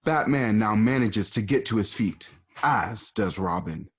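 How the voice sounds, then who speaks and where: A man's voice: lowish voice, animated, unhurried, slight background noise. A man narrating stage directions for a home radio play, casual and offhand. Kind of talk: reading stage directions aloud.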